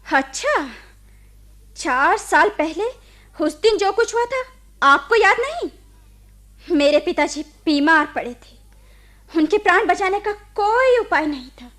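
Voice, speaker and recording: A woman's voice: extremely high pitch, lively intonation, slow pace, long pauses, fairly bright tone, exaggerated voice. A woman acting a proud, accusing young nurse in a radio play, with an indignant, cutting way of speaking. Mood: bitter, accusing. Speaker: radio drama actor.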